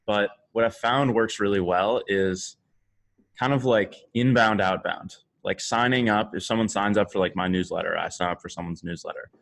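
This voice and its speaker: A man on a podcast panel chatting casually, sharing tips in a loose, halting way as he thinks aloud. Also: lowish voice, creaky, fairly bright tone.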